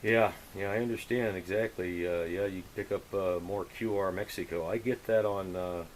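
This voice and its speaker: A man's voice: sits low, steady tone, moderate pace, a little hiss or hum, low-quality recording. A man chatting casually over the radio as an operator, effusive and full of radio jargon. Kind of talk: casual radio contact chat.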